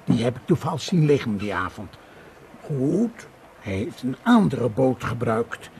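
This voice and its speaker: An actor playing a chatty, insistent island policeman in a radio drama, with a gravelly colloquial voice. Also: medium pitch, big pitch swings, unhurried, a little mellow. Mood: pondering. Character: chatty island policeman, male, protective of locals, hospitable.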